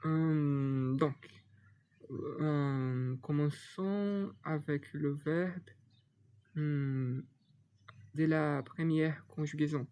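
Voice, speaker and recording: A young Brazilian man whose first language is Portuguese teaches a language lesson in his Brazilian Portuguese accent. His voice sits a little high, and he talks slowly. He stops often to search for words and to restart his sentences. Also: dark tone, clear, resonant tone. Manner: hesitant, many fillers and restarts. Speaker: Portuguese tutor.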